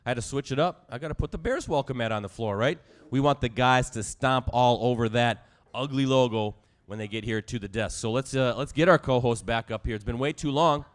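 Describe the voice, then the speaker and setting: A man hosting a live sports talk show in a bar, hoarse and squeaky tonight, hyping the crowd with energy. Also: a little fast. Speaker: show host.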